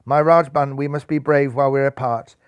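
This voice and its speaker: A man voicing a gentle, brave young animal princess in a storybook, earnest and tearful. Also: medium pitch, steady tone, a little fast, clean tone, no echo, close to an everyday voice. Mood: sad resolve.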